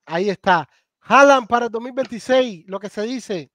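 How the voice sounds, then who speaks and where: A middle-aged man with a Cuban accent hosting a casual live stream chat over a video call, lively and warmly addressing his guest. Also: extremely high pitch, moderate pace. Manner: animated, thanks viewers, calls guest gato and hermano. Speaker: host.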